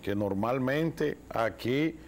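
A man in his forties with a Dominican accent, co-hosting a studio talk show and giving lively commentary. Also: medium pitch, takes it slowly, a little hiss or hum. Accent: Dominican Spanish. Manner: animated, gestures with both hands. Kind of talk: political commentary. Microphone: lapel mic.

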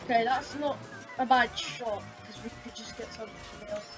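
A woman's voice: a little high, moderate pace. A woman hosting a racing game playthrough with a slightly scratchy voice from a sore throat, chatting casually and exclaiming while she concentrates. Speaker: gaming channel host. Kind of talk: casual gameplay commentary. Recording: loud game music underneath. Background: game music and engine sounds.

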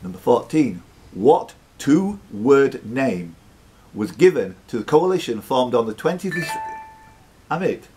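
A man's voice: mid-range voice, very animated, unhurried, mellow, a little hiss or hum. A man hosting a live quiz, reading out questions with teasing, sweary banter. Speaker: quiz host.

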